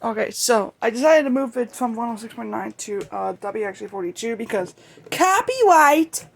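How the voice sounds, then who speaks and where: Someone casually showing off a collection in a home-made vlog, talking in a halting, rambling way. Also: medium pitch, lively intonation, vocal fry, a little hiss or hum. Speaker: video creator showing a radio collection. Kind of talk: casual show-and-tell vlog.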